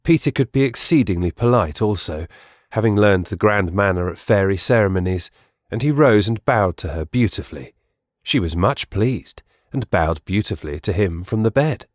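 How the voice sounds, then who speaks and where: A man's voice: on the low side, animated, normal speed, no echo, clean studio sound. A man reading scripted storybook narration as the narrator of an audiobook.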